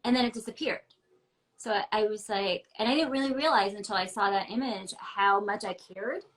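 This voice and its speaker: A woman's voice: mid-range voice, brisk, a bit bright, poor audio. A woman talking candidly and emphatically from home in a casual interview, with lots of "like" and "um". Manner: candid and emphatic, many fillers like "like" and "um". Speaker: interview guest, ballet dancer. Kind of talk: casual interview over a video call. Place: home, ground floor apartment.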